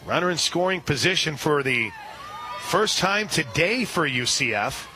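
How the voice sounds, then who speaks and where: A man doing live radio play-by-play for a softball game, chatting between pitches and shouting on big plays. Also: lively intonation, a little slow. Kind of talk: live radio sports commentary.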